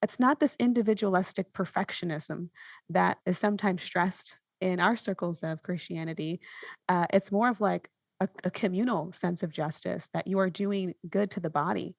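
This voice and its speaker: A woman's voice: lowish voice, normal speed, gravelly, no room sound. A woman hosting a podcast, talking in a reflective, thoughtful way and phrasing her ideas tentatively. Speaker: podcast host.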